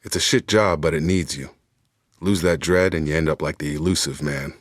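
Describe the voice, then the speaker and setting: An actor playing a steady, blunt male space soldier in a video game, with a plain-spoken, clipped military delivery. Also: a bass voice, normal speed, dry recording, clean studio sound. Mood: relaxed, candid.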